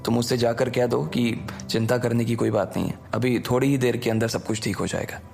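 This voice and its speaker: Guy playing a calm young hero, polite, reassuring, quietly confident, audio drama with music behind. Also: mid-range voice, a little fast, vocal fry, lightly acted. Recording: background music under the voice.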